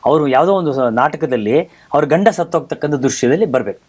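A man's voice: fairly high pitch, expressive, quick pace, a little mellow. A man hosting a conversational talk show, telling stories in an emphatic way and repeating phrases for effect. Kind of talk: animated conversational storytelling about theatre.

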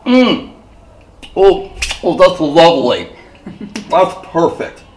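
A middle-aged man works as a travel show host, presenting to viewers and putting questions to guests. His voice sits high for a man and moves through a very lively range of pitch. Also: slow pace, rough recording. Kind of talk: travel vlog presenting and interviewing.

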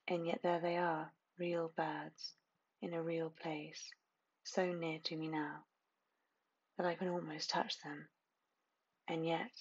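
A woman reads a hypnotic guided meditation in a low voice with a husky, breathy edge. Her delivery is very slow and hushed, with phrases repeated soothingly between long pauses. The recording sounds dull and muffled.

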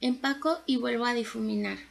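A woman's voice: fairly high pitch, fairly quick, vocal fry. A woman, giving a casual, instructive makeup tutorial as a beauty vlogger. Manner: chatty, instructive. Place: bedroom at home.

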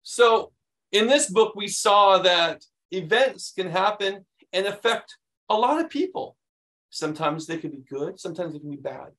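Higher-voiced American man reading to children. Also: moderate pace. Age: middle-aged. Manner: animated, expressive, explanatory for young children. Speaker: children's read-aloud host. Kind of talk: picture-book read-aloud with chatty asides.

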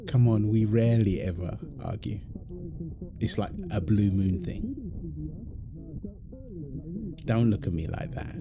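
A man's voice: lowish voice, moderate pace, creaky, background noise. A British man playing an affectionate, playful husband in an intimate, naturalistic audio roleplay, talking casually and teasingly in short repeated phrases. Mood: tender, teasing. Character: affectionate, playful, apologetic husband, gamer with his mates.

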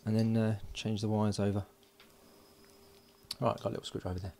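Male maker, deep and creaky, casual unhurried tutorial delivery at a workbench. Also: little pitch movement, noisy background. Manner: unhurried, thinking aloud while working. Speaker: host of an electronics build video. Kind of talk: casual hands-on tutorial narration. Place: indoors at a workbench.

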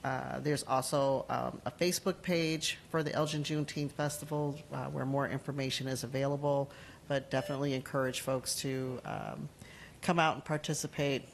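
A woman's voice: rumbling low, creaky. Councilwoman, forties, hesitant delivery full of fillers, speaking into a desk mic in a council chamber with faint hum. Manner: halting, many ums and uhs. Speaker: city council member. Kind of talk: formal meeting announcements. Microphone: desk gooseneck mic.

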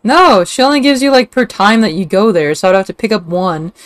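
A woman chatting casually while playing a game, excitable and slangy. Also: medium pitch, a little fast, slight background noise. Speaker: game player. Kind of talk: casual game stream chat. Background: game music.

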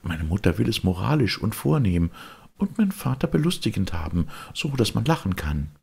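Man doing a high, eager young princess. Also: sing-song, normal speed, vocal fry, darkish tone, breathy edge, heavily acted. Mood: eager, instructing. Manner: high-pitched, instructing.

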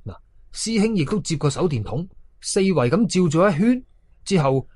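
Animated male Cantonese horror storyteller, husky voice. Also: a little high, normal speed, dry recording. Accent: Hong Kong Cantonese. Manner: animated, colloquial. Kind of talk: dramatic horror story narration in first person.

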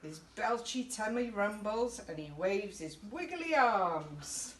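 Woman doing story time for little kids, unhurried, chatty, echoey room, mic a bit far off. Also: mid-range voice, sing-song. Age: middle-aged. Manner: chatty, encouraging, breaks off to point things out. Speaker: children's story time presenter for a youth service. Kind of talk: animated read-aloud of a children's picture book. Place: small indoor youth room. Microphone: camera a few feet away. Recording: roomy, distant camera mic with faint noise.

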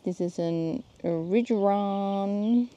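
A Dutch woman filming herself outdoors in her garden with a handheld phone. She talks casually and often pauses to search for plant names. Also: medium pitch, mellow, highs cut off. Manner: searching for words, thinking aloud. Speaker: gardening vlogger.

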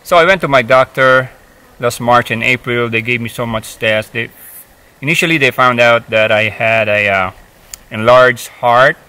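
Fifties guy vlogging out in the woods, bright edgy voice, lots of uh and um. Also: mid-range voice, clear, resonant tone. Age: 50s. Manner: halting, many fillers and restarts. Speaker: hiking vlogger. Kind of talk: casual talk to camera. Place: forest, outdoors, seated on a rock.

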